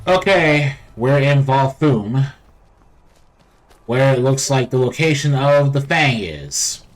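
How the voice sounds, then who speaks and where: A man's voice: mid-range voice, expressive, slow. A man hosting a let's play with casual, offhand and wry game commentary.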